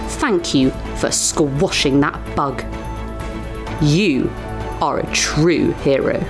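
A woman acts out a zealous female news anchor from a sci-fi radio play. Her voice is low and slow, and she delivers each phrase with solemn grandeur, over prominent music. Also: heavily acted. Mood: reverent, grateful. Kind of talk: scripted dramatic newscast.

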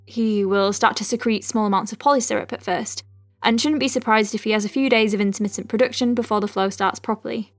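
A woman acts as a conscientious female doctor in an audio drama, recording case notes at a medium pitch. She moves between clinical dictation and gentle, chatty asides, with music underneath. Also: fast talker, no echo, clean studio sound, close to an everyday voice. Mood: professional, gentle. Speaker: lead character, physician.

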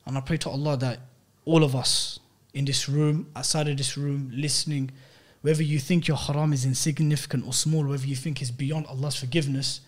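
A man's voice: medium pitch, little pitch movement, brisk. A man with a London accent hosting a casual podcast, talking in slang and repeating phrases to drive his point home. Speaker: podcast host.

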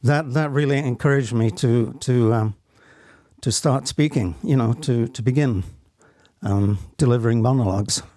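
An older man in his seventies or beyond shares personal memories in an interview. His voice is mellow and sits at an average pitch, with very little room sound. He is reflective and earnest, often pausing and restarting as he goes. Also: no echo, clean studio sound. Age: 70s or older. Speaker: interview guest, stage actor. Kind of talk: personal testimony in conversation.

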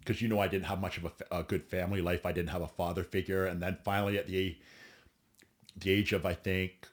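A man's voice: sits low, flat delivery, fast talker. A man in his forties, a bodybuilder guest telling his story bluntly in a podcast interview, swearing freely and often restarting. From Ontario, Canada.

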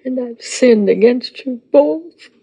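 An actress playing a dying, devout old grandmother making a guilt-ridden confession in a TV drama, weak, halting and solemn. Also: fairly high pitch, lively intonation, heavily acted, rough recording. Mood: grave, confessing. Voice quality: weak, breathy, husky. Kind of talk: television soap drama acting. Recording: soft music behind the dialogue.

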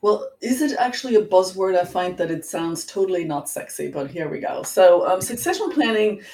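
A middle-aged woman with a German accent explaining things as an interview guest, in a measured, precise way. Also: on the low side, expressive, a little mellow. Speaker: interview guest, executive coach. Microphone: online call. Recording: roomy echo, distant microphone.

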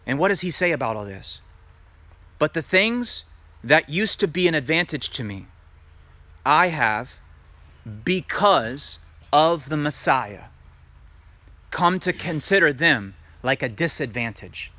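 A male preacher with a bright, ringing voice and a very animated pitch that swoops up and down. He reads scripture and teaches emphatically, asking his listeners direct questions. The sound is dry and close. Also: takes it slowly, long pauses, no echo. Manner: emphatic, rising to loud peaks when reading scripture; asks the congregation direct questions. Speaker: messianic congregation teacher. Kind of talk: sermon with scripture reading.

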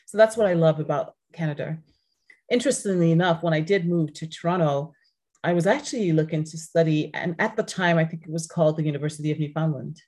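A woman's voice: sits low, quick pace, darkish tone. A woman who grew up speaking French talks earnestly as a guest in a casual podcast interview, sometimes restarting her phrases.

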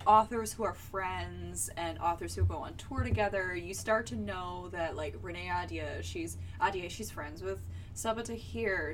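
A woman co-hosting a book podcast, casually analysing what she reads and halting as she explains. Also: fairly low pitch. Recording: distant mic with background noise.

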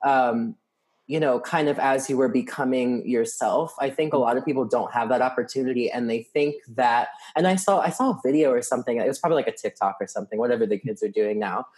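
A man's voice: medium pitch, quick pace, a little mellow. A young man in his twenties chatting casually and candidly, often laughing and swearing lightly. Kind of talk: casual chat.

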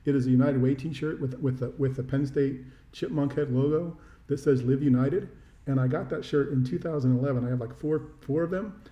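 A man's voice: medium pitch, fairly quick, muffled recording. A middle-aged American man co-hosting a podcast, chatting casually about sports in a wry, self-deprecating, rambling way, with a roomy echo. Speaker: podcast co-host. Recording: roomy, echoey sound.

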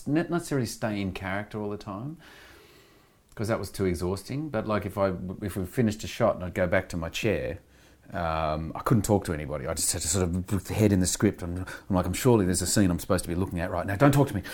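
A deep-voiced middle-aged man with an Australian accent talks through his working habits in a relaxed, reflective way. He rambles, restarts sentences and leans on "you know", breaking off with quick laughs now and then. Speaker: actor being interviewed. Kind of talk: reflective conversational interview.

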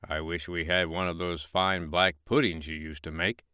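A man's voice: sits low, flat delivery, normal speed, bright, forceful tone, clear, resonant tone, no room sound, studio quality. A man doing a mild, easygoing woodchopper. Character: simple, easygoing peasant woodchopper.

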